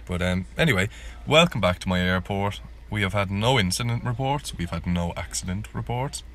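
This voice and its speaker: A man's voice: lowish voice, expressive, moderate pace, fairly bright tone. A man giving casual live gameplay commentary in a self-deprecating way. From Ireland. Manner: self-deprecating, repeats words when flustered. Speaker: gaming video host.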